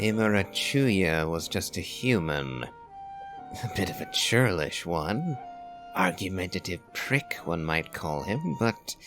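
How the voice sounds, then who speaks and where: A man giving reflective video game commentary in a deliberate, analytical, musing manner. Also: low voice, fairly bright tone. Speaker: game streamer. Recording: game music behind the voice. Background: video game music.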